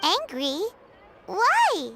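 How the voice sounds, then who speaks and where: A woman voicing a tiny, excitable, curious fairy companion in a video game, with a squeaky childlike voice and a wide-eyed manner. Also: very slow, exaggerated voice. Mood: puzzled. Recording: music behind the voice.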